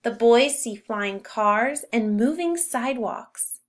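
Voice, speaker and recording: A woman narrating a children's story as a read-aloud, with expressive emphasis on key words. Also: a little high, takes it slowly. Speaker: storybook reader.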